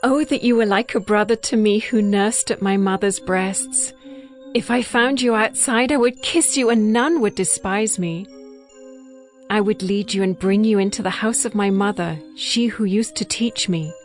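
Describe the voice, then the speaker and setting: A woman playing a tender young bride passionately in love, reading ancient poetry slowly and intimately in a dramatized scripture audiobook. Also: medium pitch, soft background music, lightly acted. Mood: wistful, devoted. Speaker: actress in a dramatized Bible audiobook.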